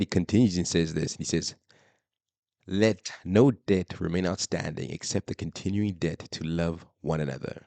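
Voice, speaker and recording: A hoarse man with a very low, gravelly voice hosts a solo faith podcast. He talks emphatically, repeats phrases and often stops on fillers. The sound is dry and close, with no room around it. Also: darkish tone, no room sound. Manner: repetitive, emphatic, many fillers. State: hoarse, lost voice. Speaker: podcast host.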